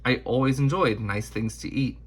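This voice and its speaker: A man voicing an earnest historical figure who recalls his youth in a storybook, in a deliberate, reflective manner. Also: medium pitch, husky, natural voice.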